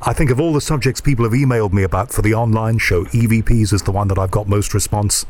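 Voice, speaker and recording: A man's voice: lowish voice, fast, darkish tone. A man hosting a radio show, asking questions with curiosity and thinking aloud as he listens. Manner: inquisitive, enthusiastic, thinks aloud. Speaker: radio host. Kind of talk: curious interview chat.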